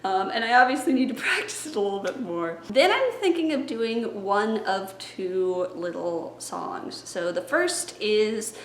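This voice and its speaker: A woman's voice: medium pitch, expressive, a little slow, hardly any pauses, a little hiss or hum. A young woman, a children's librarian, talks through her story time plans and breaks into chanted rhymes and songs. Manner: playful, sings and chants rhymes. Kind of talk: planning story time for viewers. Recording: echoey room with faint music.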